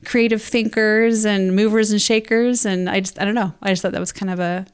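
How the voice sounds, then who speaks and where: A woman's voice: medium pitch, fairly even intonation, brisk, clear, resonant tone. A woman co-hosting a podcast, speaking in a conversational, explanatory way about books and ideas. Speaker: podcast co-host. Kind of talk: book discussion podcast, part casual chat, part reading prepared notes.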